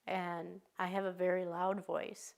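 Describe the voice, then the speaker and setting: A woman in her fifties from Michigan speaking earnestly and with determination in a news interview, pausing often as she talks. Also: on the low side, level tone, gravelly. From Michigan, USA. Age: 50s. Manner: earnest, determined, halting with pauses.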